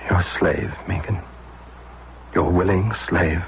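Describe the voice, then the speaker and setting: A devoted, hesitant man in a radio drama. His voice is deep with a gravelly fry, and he speaks earnestly and haltingly. The recording is muffled, with music behind. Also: fairly even intonation, slight background noise, full character voice. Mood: ardent. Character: lovesick, hesitant, sober-sided Philadelphia man devoted to his sweetheart.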